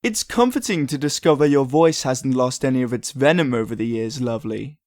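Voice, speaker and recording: An actor playing a vain, scheming prince in a comic dub, suave and self-satisfied. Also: male voice, mid-range voice, lively intonation, a little fast, darkish tone, no echo, studio quality, lightly acted. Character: vain, smooth-talking, scheming broke prince.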